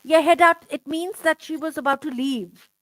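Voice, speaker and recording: Middle-aged lady chatting on a video call, kinda high voice, stops and restarts a lot, dry sound. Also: a bit bright, no echo, rough recording. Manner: chatty, halting, full of "you know" and repeats. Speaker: interviewer. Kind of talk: casual conversational interview.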